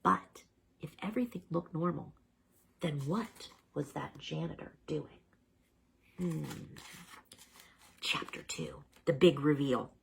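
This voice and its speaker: A woman reading a children's storybook aloud as a storyteller, dramatic and expressive, with chatty asides to the listeners. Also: deep voice, slow, vocal fry, pauses often, a little hiss or hum, rough recording.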